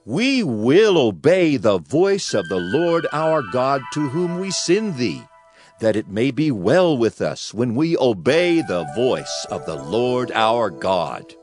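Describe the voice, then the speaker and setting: Man acting a slow, earnest oath-swearing spokesman. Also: medium pitch, sing-song, over music. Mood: earnest vow. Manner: earnest, solemn, deliberate. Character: earnest male spokesman of a pleading people, swearing obedience. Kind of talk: dramatized scripture reading.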